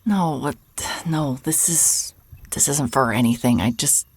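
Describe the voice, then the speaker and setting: An actress playing a weary, guarded woman in an audio drama, speaking in a hushed, breathy, halting way. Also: a bass voice, heavily acted. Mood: hesitant, sorrowful. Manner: hesitant, subdued, halting. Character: weary, guarded, apologetic woman, quietly grieving.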